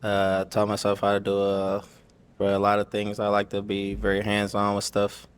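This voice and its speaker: A man's voice: lowish voice, monotone, moderate pace, smooth. A man of thirty, a dating show contestant, speaking hesitantly with many fillers. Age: 30s.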